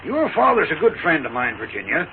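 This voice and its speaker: An actor playing a stern, protective older riverboat captain, commanding, in a 1940s radio western. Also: male voice, a little high, wildly swooping pitch, brisk. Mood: stern, concerned. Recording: tinny, noisy 1940s radio recording.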